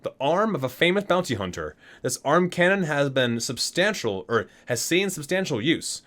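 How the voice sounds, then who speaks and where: A man's voice: fairly high pitch, big pitch swings, normal speed, fairly bright tone. Man reading ASMR with swooping intonation. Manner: stumbles over words and corrects himself mid-reading. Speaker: ASMR video creator reading a game guidebook. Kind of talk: ASMR read-aloud with casual asides.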